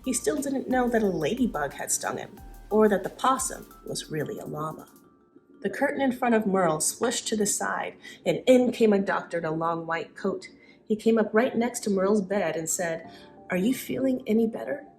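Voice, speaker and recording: Expressive woman reading a story aloud. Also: medium pitch, moderate pace, soft background music. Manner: expressive, acts out dialogue. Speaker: vlogger reading own childhood story. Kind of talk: dramatic storybook reading with casual asides.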